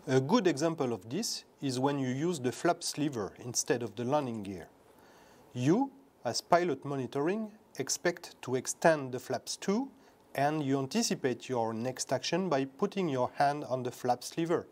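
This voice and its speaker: Lively-voiced man narrating pilot training. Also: medium pitch. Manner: measured, instructive. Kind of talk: scripted instructional narration.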